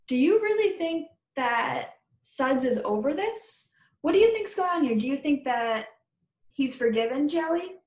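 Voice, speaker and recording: A woman teacher reading a children's book aloud, stopping to question and explain things to her pupils. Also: sits high. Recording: roomy indoor echo.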